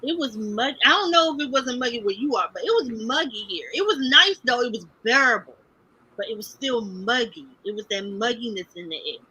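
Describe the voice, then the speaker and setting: A woman's voice: fairly high pitch, animated, brisk, pushed and bright, breathy edge, rough recording. A woman from Maryland co-hosting a livestream, chatting casually and repeating herself as she talks. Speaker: co-host of a livestream.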